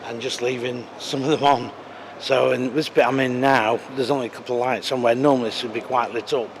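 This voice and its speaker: A British man with a croaky voice from a cold, chatting casually with lots of ums while filming a walk-and-talk vlog in an exhibition hall foyer. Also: medium pitch, noisy background. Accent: British English. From United Kingdom. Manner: chatty, rambling, with many ums. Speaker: vlogger.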